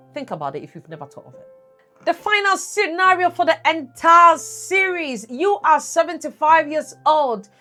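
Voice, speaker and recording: A woman's voice: high-pitched, a bit bright. A woman hosting a personal finance channel, talking earnestly to her viewers and asking them what they would do. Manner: earnest, preachy, asks the viewer questions. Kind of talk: solo talk to camera.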